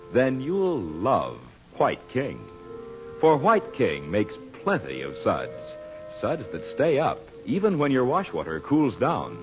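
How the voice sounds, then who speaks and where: A man announcing and reading a sponsor's advertisement on old-time radio in an emphatic, salesmanlike way. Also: lowish voice. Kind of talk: 1940s radio advertising read. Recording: muffled old radio recording with music.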